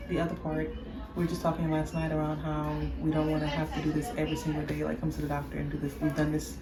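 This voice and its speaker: Woman, low voice, chatty and friendly, casual vlog, echoey hospital room, background noise. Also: completely flat delivery, quick pace. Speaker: expectant mother, vlogger.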